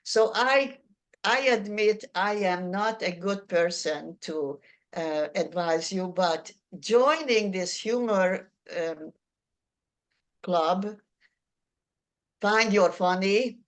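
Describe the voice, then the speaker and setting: Older Hungarian-accented woman leading a Q&A, unhurried and self-deprecating, mid-pitched. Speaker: workshop presenter. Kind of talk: answering audience questions.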